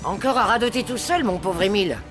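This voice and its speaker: A woman's voice: mid-range voice, animated, fast talker, over loud music, close to an everyday voice. A woman voicing a haughty, scheming lady in a French cartoon, mocking and falsely sweet. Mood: condescending.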